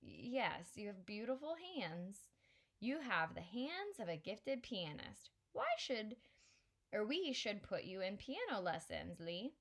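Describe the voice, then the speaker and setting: A woman's voice: mid-range voice, sing-song, slow, exaggerated voice. A woman doing a blunt, wry old grandmother. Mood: fond. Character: Filipino grandmother, blunt, dry, storytelling. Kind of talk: audiobook character reading.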